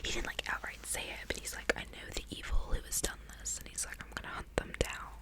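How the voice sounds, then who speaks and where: A young woman whispering softly in ASMR style as she reads aloud, breathy and hushed, close to the microphone. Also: quick pace. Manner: soft, hushed, expressive. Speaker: ASMR reader. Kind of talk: whispered ASMR book reading with casual asides.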